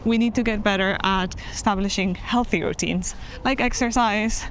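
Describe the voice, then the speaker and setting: A woman's voice: mid-range voice, moderate pace, slight background noise, poor audio. A young woman from Spain chatting casually while walking outdoors, answering viewer questions with frequent laughter. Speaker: travel vlogger. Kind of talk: casual walk-and-talk Q&A. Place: outdoors in a town.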